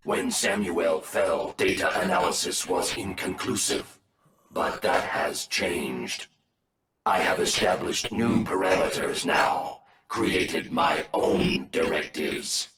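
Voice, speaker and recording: An actor voicing a solemn, logical robot wolf in a video game, speaking formally in a measured, machine-like way. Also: male voice, low voice, reverberant, noisy background. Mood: solemn, resolute. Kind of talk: video game cutscene acting.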